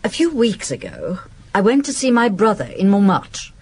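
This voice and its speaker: Female, a sardonic ex-servant in radio crime drama; husky, lowish, very lively inflection. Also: normal speed. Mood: recalling, guarded. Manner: blunt, dry, guarded. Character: guarded, blunt, sardonic former servant woman, a witness. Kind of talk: radio detective drama acting.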